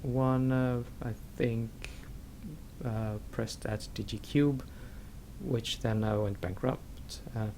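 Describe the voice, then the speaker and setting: A man commentates casually on a video game at a slow pace, in halting fragments as he thinks aloud. His pitch is average, and a faint rumble runs behind him. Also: fairly even intonation, mellow. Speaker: let's play commentator.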